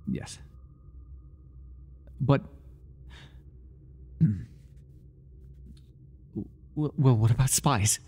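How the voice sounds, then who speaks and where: A man playing an obsessive, jealous high priest in an ASMR roleplay, fawning over his god in grandiose, stammering, pleading speech. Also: warm tone, husky, full character voice. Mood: anxious pleading. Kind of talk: ASMR roleplay monologue, dramatic acting.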